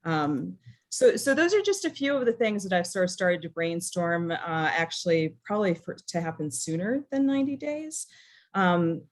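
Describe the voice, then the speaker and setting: A woman from New England with an American accent and a slightly low voice speaks in an informal meeting presentation. She is hesitant and thinks aloud, softening her points as she goes. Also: big pitch swings, normal speed. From New England, USA. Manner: hesitant, thinking aloud, hedging with 'sort of' and 'you know'.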